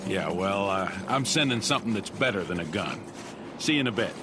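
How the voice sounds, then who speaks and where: An actor playing a weary, wry older engineer in a video game, with a grumbling, folksy manner. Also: male voice, fairly low pitch, expressive, fairly quick, over loud music, natural voice. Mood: businesslike. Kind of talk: video game cutscene acting.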